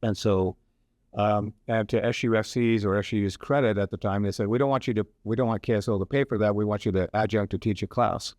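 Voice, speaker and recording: Older male academic guest, low pitch, chatty and meandering, close dry studio mic. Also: fairly even intonation, a little fast, darkish tone, dry recording. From Hawaii. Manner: rambling, many fillers and restarts. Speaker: professor and guest. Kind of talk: casual radio interview.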